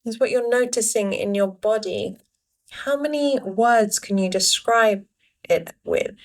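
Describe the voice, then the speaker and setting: Slow-paced woman guiding a meditation. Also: on the high side, low-quality recording. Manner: unhurried, pausing between short phrases. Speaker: meditation guide. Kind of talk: guided meditation, gentle spoken instructions.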